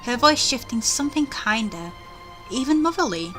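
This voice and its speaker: A woman's voice: on the high side, animated, moderate pace, over music. A young adult woman playing a game, commenting casually and reading its text aloud. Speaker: let's play host.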